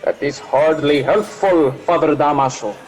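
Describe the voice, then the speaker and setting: An actor playing a cautious, diplomatic Spanish priest who speaks in a measured, formal way in a radio drama. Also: male voice, on the high side, a crowd in the background, close to an everyday voice. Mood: admonishing. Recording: long hall reverb, music underneath.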